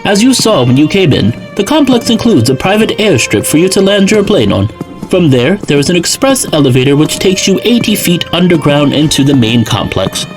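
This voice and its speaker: A man's voice: fairly high pitch, very animated, fairly quick, music in the background. A man playing a polished, formal salesman who is eager to sell, in an audio drama. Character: polished male salesman pitching a luxury survival bunker.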